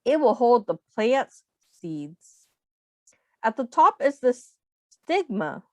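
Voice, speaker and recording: A woman reading a children's book aloud as a teacher, expressively, pausing to explain things simply and ask young listeners questions. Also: on the high side, big pitch swings, mellow, no room sound.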